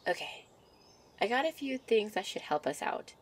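A woman voicing a shy, sweet young fairy in an ASMR roleplay, with a soft, coy, flustered manner. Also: on the high side, very animated, normal speed, breathy edge. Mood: gentle, instructive. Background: birdsong and outdoor ambience.

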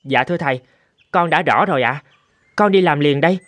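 Man playing a high-voiced, earnest young monk. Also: a little fast, fairly bright tone, no room sound, natural voice. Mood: respectful. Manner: deferential, earnest. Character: respectful young male monk, earnest disciple. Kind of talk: cartoon folk-tale dubbing. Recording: music under the voice.

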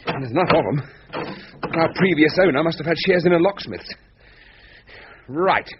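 A high-voiced British man played by an actor as a wry, sceptical husband in a radio thriller. His delivery is brisk and swings widely in pitch. The sound is dull and noisy. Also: animated, close to an everyday voice. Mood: wry, practical. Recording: muffled recording with background noise.

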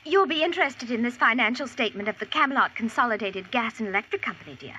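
An actress playing a busy, efficient, earnest wife in a 1940s radio drama, with a proper, brisk manner. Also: female voice, on the high side, lively intonation, sharp tone. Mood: businesslike. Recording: muffled noisy vintage radio.

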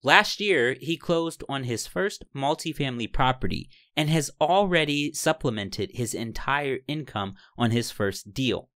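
A man's voice: medium pitch, expressive, unhurried, few pauses, a bit bright, dry recording, clean studio sound. A man hosting a podcast who reads a scripted introduction and then asks interview questions in an upbeat, eager way. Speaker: podcast host. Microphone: headset.

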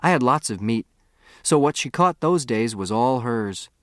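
A man's voice: medium pitch, animated, measured pace, a bit bright, no room sound. Male audiobook narrator, dry close sound. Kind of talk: scripted first-person story narration.

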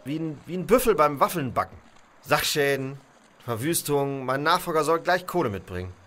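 Fed-up, grumbling heavenly boss. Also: male voice, fairly high pitch, animated, normal speed, fairly bright tone. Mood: exasperated grumbling. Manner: muttering, exasperated. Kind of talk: radio play acting.

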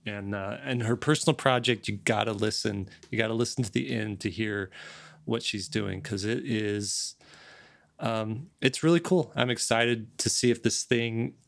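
A middle-aged man hosting a casual podcast, thinking aloud in a halting way, close to a desk microphone. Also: on the low side, gravelly. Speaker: podcast host. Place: home studio, on a video call. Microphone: large desk microphone, close.

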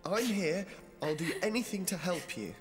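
A man's voice: high voice, expressive, moderate pace, over music. An actor playing an eager, caring teenage boy in a dramatic video game. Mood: reassuring.

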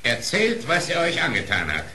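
Pompous, commanding lion king, animated. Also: medium pitch, moderate pace, a little hiss or hum, full character voice. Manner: pompous, imperious, formal. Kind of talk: German fairy-tale radio play acting.

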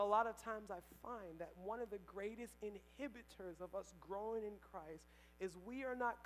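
A young man preaching a sermon on a church stage through a headset mic, swinging between loud emphatic lines and quiet asides. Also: sits high, a little hiss or hum, low-quality recording. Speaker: pastor. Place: church stage, large indoor hall.

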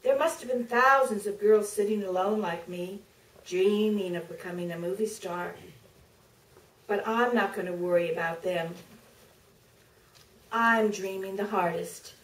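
A middle-aged woman author giving a measured, deliberate staged reading from a podium, with pauses for effect. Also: medium pitch, recorded from a distance. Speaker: author reading from her book. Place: theater stage, filmed from the audience. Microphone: podium microphone heard through distant camera. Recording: roomy theater, picked up from afar.

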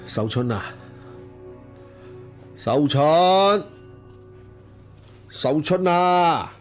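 A man's voice: medium pitch, exaggerated voice. An actor playing a wealthy, lustful and imperious household master in a Chinese period radio drama, sighing and drawing out his words. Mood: drunk, demanding. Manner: sighing, drawn-out delivery.

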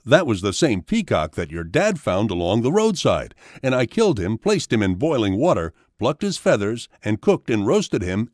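A man's voice: medium pitch, darkish tone, dry recording, studio quality, close to an everyday voice. A man voicing an emotional, loving village mother who exclaims her words in a children's story.

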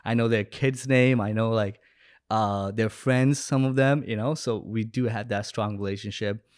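A man's voice: on the low side, steady tone, normal speed, clear, resonant tone, no echo. A young man from Bangladesh talking casually and anecdotally as a podcast guest, close on a microphone. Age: young adult. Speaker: podcast guest, business owner and coach. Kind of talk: casual storytelling in interview. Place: room at home or studio, indoors. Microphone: close podcast microphone with headset.

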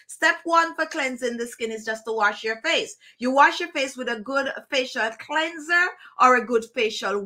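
Bright-voiced Jamaican woman vlogger. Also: fairly high pitch. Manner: chatty, digressive, laughs often. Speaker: skincare vlogger. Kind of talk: casual tutorial talk to camera. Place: indoor room with plants and screen.

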